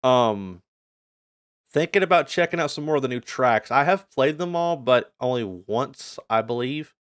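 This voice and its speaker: A man's voice: mid-range voice, expressive, moderate pace, fairly bright tone, no room sound, studio quality. A North American man giving a casual channel update as a gaming YouTuber, talking informally and earnestly in a rambling way.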